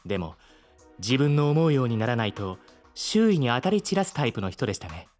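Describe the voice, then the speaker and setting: A man playing a polite, caring artificial intelligence with formal, measured speech in a Japanese audio drama. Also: mid-range voice, sing-song, a little mellow, soft background music, close to an everyday voice. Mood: courteous, calm.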